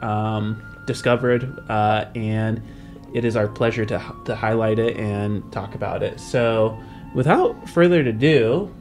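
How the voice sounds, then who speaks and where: A man speaks as a podcast host in a loose, conversational style. His voice sits at a medium pitch, with music playing behind him. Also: a little mellow. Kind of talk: casual chat.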